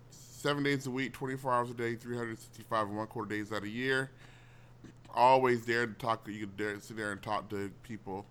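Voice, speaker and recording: A man's voice: fairly low pitch, a bit bright, smooth. A man hosting a casual call-in radio show, teasing and joking with a caller. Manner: teasing, joking with his mother.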